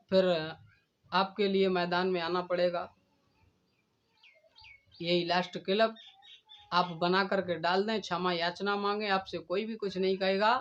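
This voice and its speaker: A man delivering a stern, deliberate admonishing speech in a preachy manner. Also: high voice, a bit bright. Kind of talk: admonishing speech addressed to a rival. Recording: dull, lo-fi recording.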